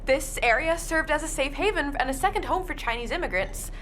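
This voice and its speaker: An actress playing an earnest young rookie tour guide in a radio play, keen and informal. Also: female voice, high voice, animated, a little fast, a bit bright, a little hiss or hum. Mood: earnest informing. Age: young adult.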